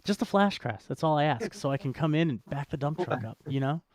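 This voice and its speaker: A man's voice: a little high, lively intonation, a little fast, darkish tone, no room sound. A man co-hosting a show with casual podcast banter and commentary, wry and joking in manner. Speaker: co-host of a cryptocurrency show.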